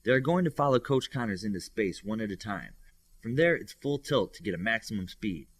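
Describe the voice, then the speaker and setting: A man's voice: lowish voice, big pitch swings, brisk, slightly rough, full character voice. A man voicing a young telepathic superhero girl who explains and reports things to her friends in an audiobook. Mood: informative. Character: telepathic superhero girl, informative, attentive student.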